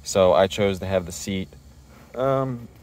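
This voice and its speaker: A man's voice: deep voice, slow pace, a little mellow. A man presenting a casual, informal DIY walkthrough, explaining things step by step as he goes. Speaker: DIY car video presenter.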